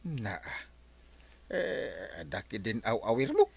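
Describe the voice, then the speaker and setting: A man's voice: fairly low pitch, huge pitch swings, slow pace, gravelly, slightly rough, exaggerated voice. A man playing a grumbling, cheeky husband in a comic audio drama, blustering and stammering with an Ilocano accent. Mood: reluctant. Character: grumbling, cheeky husband who shirks chores.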